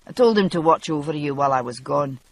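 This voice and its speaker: A narrator doing a gruff, gentle Scottish man. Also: expressive, quick pace, close to an everyday voice. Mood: quiet, gruff. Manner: low, quiet, matter-of-fact. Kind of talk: audiobook character voice.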